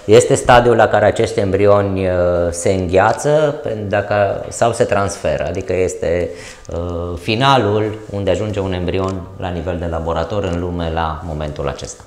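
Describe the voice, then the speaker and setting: A middle-aged man with a Romanian accent, a doctor, explaining clinic procedures during a tour in a measured, hesitant way. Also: low-pitched, darkish tone. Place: clinic corridors and lab, indoors. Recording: echoey clinic rooms.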